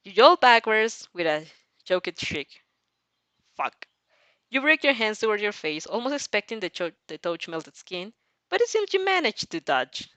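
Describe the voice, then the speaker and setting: A woman reads a story aloud with lively, swinging intonation. Her delivery is expressive and informal, slipping between narration and her own casual reactions. The sound is close and dry. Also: mid-range voice, a little slow, no room sound. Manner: animated, breaks off to react and restart sentences. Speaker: fan fiction reader and reactor. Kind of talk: expressive audio reading of fan fiction with casual asides.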